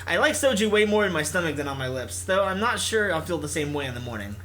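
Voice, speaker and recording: A man's voice: on the high side, sing-song, quick pace, pushed and bright, slight background noise. A man hosting a gaming video, reading narration expressively and mixing in casual commentary.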